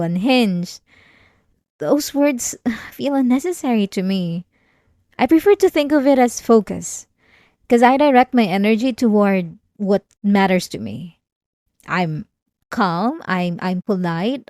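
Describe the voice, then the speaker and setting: Slow, eerily calm obsessive woman patient, acted by a woman. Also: medium pitch, dry recording, clean studio sound. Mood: calm, defensive. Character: obsessive, manipulative female therapy patient, eerily calm and menacing. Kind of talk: ASMR roleplay monologue.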